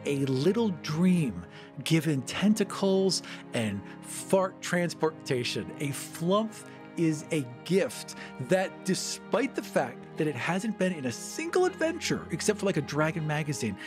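A man's voice: fairly high pitch, very animated, a little slow, music in the background. A man chatting casually as a podcast guest, with playful, theatrical emphasis.

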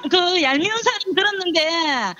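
A woman in her forties with a Gyeongsang accent, chatting excitedly and gushing as a phone-in caller to a show. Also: very high voice, normal speed, dull audio, background noise. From South Gyeongsang, South Korea. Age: 40s. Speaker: phone-in caller, daycare director. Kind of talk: excited casual phone chat. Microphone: telephone.

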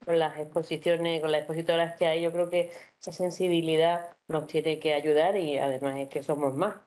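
A woman's voice: deep voice, level tone, moderate pace, a little mellow. A woman moderating a panel at a conference, addressing speakers familiarly. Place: conference hall, seated at a panel table.

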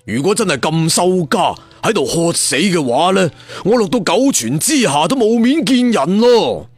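Man putting on a brash high-pitched excitable swordsman. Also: normal speed, husky, no room sound, soft background music, full character voice. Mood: defiant. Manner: loud, exclamatory, bragging. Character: hot-tempered boastful swordsman, brash and excitable.

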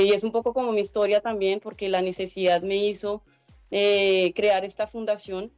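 A woman's voice: medium pitch, darkish tone, no echo. A young Colombian woman answering interview questions over a video call from a room at home, earnest and explanatory, with many "eh" and "pues" fillers. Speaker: guest, head of a migrant organization. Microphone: laptop webcam on a video call.

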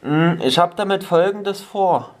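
A man voicing a polite, eccentric elderly prank caller in a stilted, formal, deadpan manner. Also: high voice, unhurried, slight background noise. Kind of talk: improvised prank phone call. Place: small home kitchen, indoors.

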